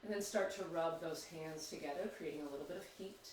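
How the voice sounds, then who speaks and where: A man leading a guided yoga meditation, speaking slowly and soothingly with long pauses. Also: fairly high pitch, slight background noise. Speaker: yoga teacher. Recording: very echoey, distant mic.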